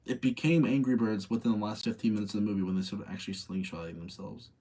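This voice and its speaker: A man's voice: on the low side, steady tone, fast, mellow. A tired man hosting a casual live rating session, chatting with his audience, rambling and thinking aloud as he gives his opinions. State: tired late at night.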